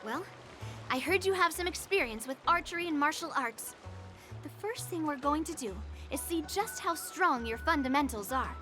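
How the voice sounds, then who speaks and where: A woman's voice: high-pitched, expressive, moderate pace, pushed and bright, soft background music. A woman voicing a cocky, boastful young girl sword master in an anime game, brash and commanding. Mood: instructive.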